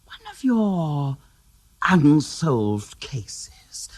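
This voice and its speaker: Pompous clairvoyant: breathy, theatrical, wildly swooping delivery, unhurried. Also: male voice, fairly high pitch, big pitch swings, no room sound, exaggerated voice. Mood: theatrical menace. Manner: grand and self-important, sliding into hushed menace. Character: smug theatrical male clairvoyant, middle-aged. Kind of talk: radio drama acting.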